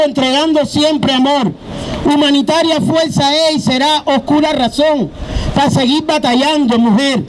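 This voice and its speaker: Cuban woman, Spanish accent, very high voice, halting English, rhythmic poetry, outdoor street noise. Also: rough recording. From Cuba. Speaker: poet and hip hop artist at a rally. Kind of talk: rally speech and recited poem. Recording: outdoor rally with loud traffic.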